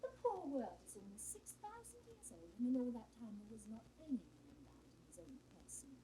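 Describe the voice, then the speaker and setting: High, swooping, witty young British heroine. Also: female voice, huge pitch swings, a little fast, dark tone, rough recording. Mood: wry, coaxing. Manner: theatrical, playful, emphatic, swooping delivery. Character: witty, teasing young woman in love, playfully testing her suitor. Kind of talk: classical Shakespearean stage acting for 1960s audio drama. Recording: 1962 studio recording with faint noise.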